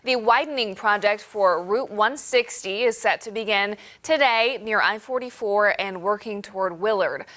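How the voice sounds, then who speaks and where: A woman's voice: mid-range voice, normal speed, hardly any pauses. Woman anchoring a morning newscast. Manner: steady, friendly news read. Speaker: news anchor.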